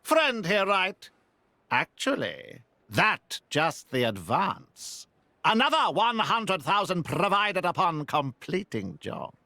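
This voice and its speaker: A man's voice: high voice, very animated, sharp tone, no echo, heavily acted. An actor voicing a pompous creature chairman who speaks in broken, grandiose phrases. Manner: broken grammar, grandiose, slow. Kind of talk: video game voice acting.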